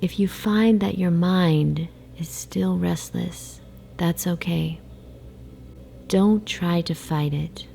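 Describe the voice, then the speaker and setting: A woman guiding a sleep meditation in a soft, soothing, hypnotic way, with breathy phrase endings and long pauses. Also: on the low side. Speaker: meditation guide. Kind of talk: guided sleep meditation, slow hypnotic narration. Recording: faint background noise, ocean waves. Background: ocean wave sounds.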